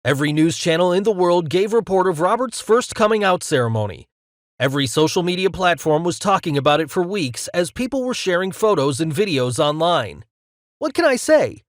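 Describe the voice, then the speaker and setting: A man acts as a news host in an audio drama, with a high voice that swoops theatrically. The delivery is emphatic and full of wonder, recorded close and dry. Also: expressive, brisk, no room sound. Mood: intrigued. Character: excitable male studio news host. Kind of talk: broadcast presenter acting in audio drama.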